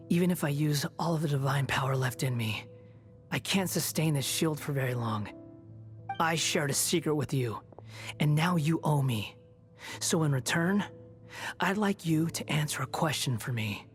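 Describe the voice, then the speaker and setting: A man's voice: a little high, natural voice. An actor playing a proud, bitter young male wanderer in a video game, with a dry, aloof, cutting manner. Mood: grave. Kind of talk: video game character acting.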